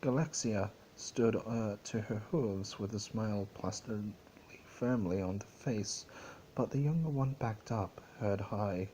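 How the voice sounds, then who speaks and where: A man giving an amateur dramatic reading of a fantasy story, theatrical but halting, stumbling over words. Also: lowish voice, warm tone, slight background noise, low-quality recording. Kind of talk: dramatic storybook narration of fan fiction.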